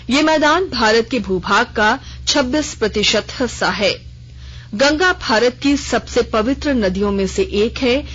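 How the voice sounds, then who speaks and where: Formal female radio newsreader, lo-fi. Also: a little high. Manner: measured, even formal delivery. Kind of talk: formal news bulletin reading. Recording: lo-fi radio broadcast.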